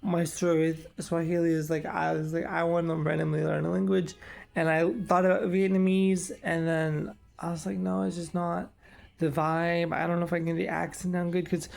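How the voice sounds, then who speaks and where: Young man, twenties, casual vlog chat, rambling with fillers, very gravelly, fairly high voice. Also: level tone, normal speed, darkish tone. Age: 20s. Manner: rambling, lots of "like" and "um". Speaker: vlogger.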